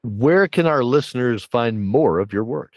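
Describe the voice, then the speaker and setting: A man's voice: mid-range voice, lively intonation, unhurried, no echo, studio quality. A man hosting a casual podcast interview, chatting conversationally with his guest. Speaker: podcast host and producer.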